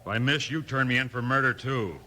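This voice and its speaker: A man's voice: mid-range voice, a little fast, natural voice. An actor playing a bitter, reluctant hired gunman in a 1950s radio western, tense and defiant. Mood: tense, resentful. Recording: noisy 1950s radio recording.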